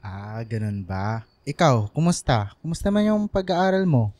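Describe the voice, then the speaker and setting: A man's voice: mid-range voice, expressive, unhurried, a little mellow, no echo, lightly acted. A man playing a doting, teasing middle-aged father in a Filipino radio drama, affectionate and full of exclamations. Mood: warm, playful.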